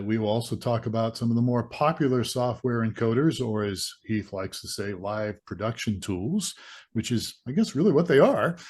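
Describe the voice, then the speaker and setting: A man's voice: mid-range voice, a little mellow. A man hosting a webinar over a video call, upbeat and loose, with frequent restarts and "all right"s. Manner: stumbling restarts, upbeat filler like "all right", "there you go". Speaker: webinar host.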